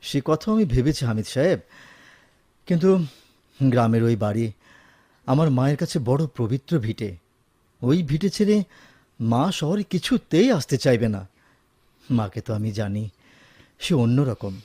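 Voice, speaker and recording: A man's voice: mid-range voice, animated, moderate pace, long pauses, mellow, no echo, close to an everyday voice. A man with a Bangladeshi accent acting a dutiful, firm, educated officer in a Bengali radio drama. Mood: resigned.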